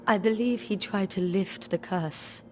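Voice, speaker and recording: An actress gives us a genteel, devout colonial wife weighed down by grief. Her voice sits at a middle pitch and her phrasing is formal and old-fashioned. It is video game drama, with faint music behind. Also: measured pace, darkish tone, close to an everyday voice. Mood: sorrowful.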